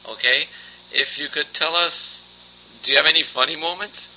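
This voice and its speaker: Bright-voiced male radio host. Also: fairly high pitch, fairly even intonation, normal speed, slight background noise, rough recording. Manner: brief reactions, leads questions. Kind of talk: radio interview.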